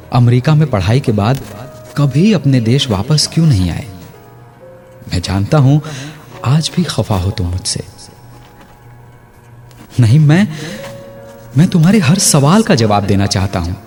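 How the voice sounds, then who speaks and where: An actor playing an aging, remorseful scholarly father in a reflective radio drama, speaking pensively with halting, dramatic pauses. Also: medium pitch, sing-song, darkish tone, soft background music. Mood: wistful, regretful. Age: older. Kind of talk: Hindi radio drama monologue, literary and reflective.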